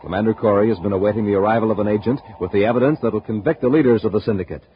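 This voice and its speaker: A man's voice: lowish voice, very fast. A man announcing and narrating a 1950s radio show in a dramatic, punchy scripted style. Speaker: announcer and pitchman. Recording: noisy, muffled 1950s radio recording.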